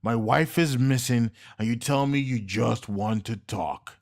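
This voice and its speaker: A man voicing a desperate, furious army captain who shouts with a strained, raw voice. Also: mid-range voice, a little slow, close to an everyday voice. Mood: angry.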